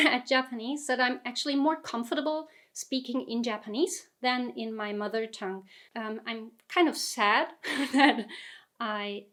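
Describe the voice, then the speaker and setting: Chatty woman, slightly high voice. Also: a bit bright. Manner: chatty, self-deprecating, laughs often. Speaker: vlogger. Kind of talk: casual reflective vlog.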